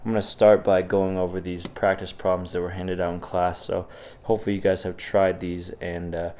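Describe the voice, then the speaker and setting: Deep-voiced, congested man teaching. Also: little pitch movement, a little fast, darkish tone, a little hiss or hum. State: sick, congested and sniffly. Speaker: physics teacher. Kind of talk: informal video lecture walking through practice problems.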